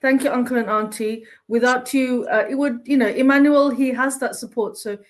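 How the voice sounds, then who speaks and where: A woman's voice: high voice, fairly even intonation, normal speed. A woman hosting an informal live interview, chatty, effusive and encouraging in the way she talks. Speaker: interviewer and host.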